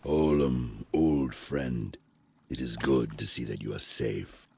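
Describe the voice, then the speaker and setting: A man voicing a grave old alien sage in a fantasy video game, gravelly, solemn and formal. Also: fairly even intonation, slow, dark tone, exaggerated voice. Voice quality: very deep, gravelly. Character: grave, deep-voiced old alien sage leading a secret rebellion.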